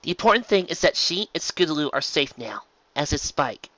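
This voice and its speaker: Guy doing a sensible lady pony leader, higher pitched and bright, storybook narration voice. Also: very animated, fairly quick, dry recording, muffled recording. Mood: urgent. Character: composed, responsible young unicorn mare, reassuring leader.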